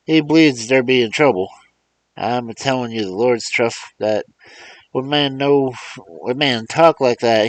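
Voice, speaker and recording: A man's voice: mid-range voice, no room sound, voice pushed up, lightly acted, low-quality recording. A man voicing an old male servant who rambles in a drawling, superstitious way. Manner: drawling dialect speech, wondering. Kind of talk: audiobook character voice.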